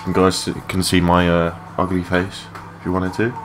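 Low-voiced young man, casual and sweary. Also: monotone, moderate pace. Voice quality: low-pitched voice. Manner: rambling, sweary, matey. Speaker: gaming video creator. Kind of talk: casual unscripted gameplay commentary. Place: his room at home. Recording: clearly noisy home mic recording.

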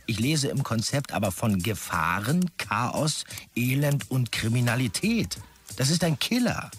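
An actor playing a self-important, pushy and sleazy young dot-com manager in a radio drama, with officious, jargon-heavy delivery. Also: male voice, medium pitch, very animated, measured pace, a little hiss or hum. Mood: indignant. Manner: officious business jargon, heavy English buzzwords. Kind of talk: radio drama, characters reading out e-mails.

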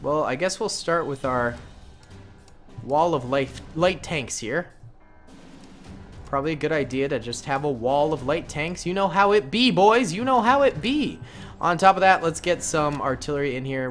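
A man's voice: on the high side, normal speed, fairly bright tone, faint music behind. A young man casually commenting on his gameplay in a playful, jokey way, with slang. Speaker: gaming YouTuber.

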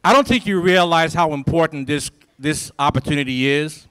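A man's voice: on the high side, moderate pace, a bit bright, smooth. A man in his forties from Liberia, giving a rousing motivational speech to students with a handheld microphone in a large lecture hall, in a preacher-like cadence full of emphatic repetition. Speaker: symposium speaker.

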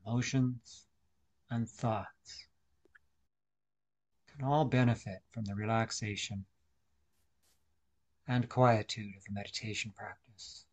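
A man leading a guided meditation, speaking deliberately in short instructional phrases with pauses. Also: on the low side, fairly even intonation.

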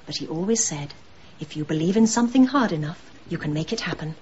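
A woman's voice: low-pitched, expressive, quick pace, darkish tone, husky, dull audio, exaggerated voice. A woman playing a bitter, sardonic murderess in a radio drama, mocking and scornful. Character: bitter, sardonic murderous wife holding people at gunpoint.

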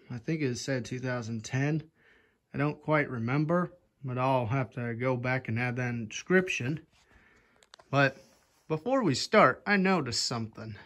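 A man's voice: medium pitch, fairly bright tone. A young man hosting a casual fan-collecting video, rambling offhand with many "anyway"s, filmed on a hand-held phone in a rafter-roofed attic. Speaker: ceiling fan collector channel host. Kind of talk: casual fan demo vlog. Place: attic or shed with exposed wooden rafters, indoors. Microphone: phone camera held by hand, pointed up at a fan.

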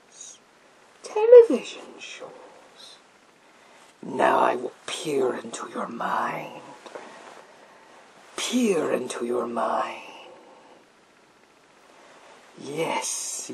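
A man playing a sinister psychic mind-reading soldier in a gas mask, in a theatrical, drawn-out, whispered ASMR roleplay. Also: on the high side, sing-song, vocal fry, darkish tone, full character voice, poor audio. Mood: probing, theatrical. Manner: theatrical, slow, drawn-out, repetitive phrases.

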